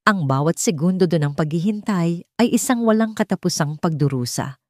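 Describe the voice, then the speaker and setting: A woman's voice: on the low side, animated, normal speed, no room sound, studio quality. A woman narrator reading a scripted dramatic audiobook story.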